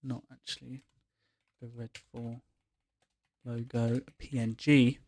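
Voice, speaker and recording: A man's voice: medium pitch, flat delivery, slow pace, a little mellow, no room sound. A man chatting casually as he co-hosts a live gaming stream.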